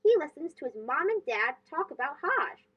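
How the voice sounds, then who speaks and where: A high-voiced woman narrates a storybook for young children. Her delivery is expressive and animated, with wide pitch movement, and the recording is slightly dull and lo-fi. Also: moderate pace. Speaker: storybook reader, host.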